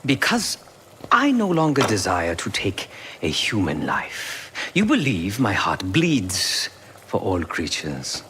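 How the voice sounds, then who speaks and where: Deep, brooding, philosophical former enforcer. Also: male voice, wildly swooping pitch, fairly bright tone, a little hiss or hum, full character voice. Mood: patient, philosophical. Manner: formal, measured, philosophical. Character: remorseful male ex-enforcer turned pacifist, philosophical, brooding, formal. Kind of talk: video game cutscene monologue.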